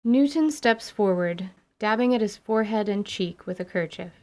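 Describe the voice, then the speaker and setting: A woman's voice: medium pitch, lively intonation, normal speed, noisy background. A woman narrator reading scripted, dramatic fantasy narration for radio theatre.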